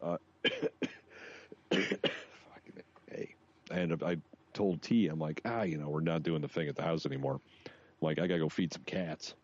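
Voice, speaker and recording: A man's voice: a bass voice, moderate pace, a little mellow, muffled recording. A man in his late thirties co-hosting a podcast, telling casual anecdotes in a wry, profane way. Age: late 30s. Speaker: podcast co-host. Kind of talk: casual chat, swearing anecdotes.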